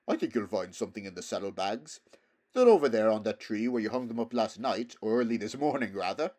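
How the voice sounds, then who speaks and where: A man's voice: lowish voice, expressive, fairly quick, darkish tone. A proud talking horse from a storybook, played by a man. He speaks in a pompous, emphatic way, lecturing like a worldly elder companion. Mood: lofty, matter-of-fact. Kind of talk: storybook character voice.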